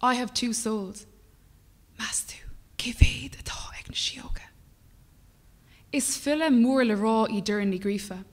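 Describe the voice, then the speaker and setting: A young Irish woman poet reading her work aloud in a measured, rhythmic way at a lectern microphone, at times dropping to a whisper. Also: a little high, big-room sound. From Ireland. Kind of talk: poetry reading. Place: small brick-walled performance venue, indoors. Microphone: stand mic at a lectern.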